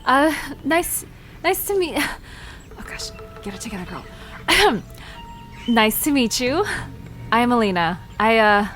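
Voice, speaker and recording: A woman's voice: on the high side, expressive, slow pace, pauses often, fairly bright tone, faint music behind. A woman playing a nervous, curious young woman in an audio drama, flustered and stammering as she talks.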